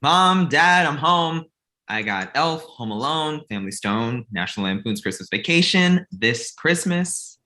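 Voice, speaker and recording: A man acts out a naive son in his thirties, earnest about Christmas and a little whiny. The voice is bright and forceful, and it swings up and down freely through the comedy script. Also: fairly high pitch, lively intonation, unhurried. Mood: eager. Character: naive thirty-six-year-old son, childishly devoted to Christmas and Santa. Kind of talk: comedy script table read.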